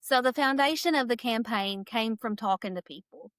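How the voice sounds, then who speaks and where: A woman's voice: on the high side, moderate pace, dry recording. A woman, talking energetically as a candidate in a podcast interview. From southeastern Kentucky. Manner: energetic, talkative, laughs easily. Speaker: political candidate.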